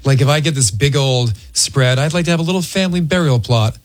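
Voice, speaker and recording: A man's voice: medium pitch, fairly quick. A man hosting a radio show, chatting casually and teasing playfully into a close studio microphone. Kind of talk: casual on-air chat, teasing banter.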